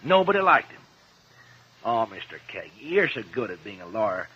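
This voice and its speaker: An actor in a 1950s radio drama playing an earnest, loyal young man with an eager, halting, naive way of talking. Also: on the low side, very animated, pushed and bright, slight background noise. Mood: earnest, pleading. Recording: muffled vintage 1950s radio recording.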